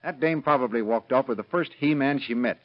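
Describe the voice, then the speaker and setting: Actor as a fast-talking, wisecracking cynical cop. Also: male voice, mid-range voice, lightly acted. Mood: cynical, assured. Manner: sardonic, slangy, tough. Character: cynical, wisecracking police partner who scoffs at hunches. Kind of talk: 1930s radio crime drama acting. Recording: dull lo-fi vintage radio recording.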